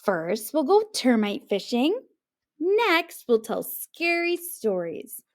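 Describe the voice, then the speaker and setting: A woman voicing an excitable, grumpy young male ape in a children's storybook, exclaiming and whining indignantly. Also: high-pitched, slow, voice pushed up, heavily acted. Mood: eager. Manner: exclaiming, whiny and indignant when crossed. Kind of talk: children's storybook read-aloud.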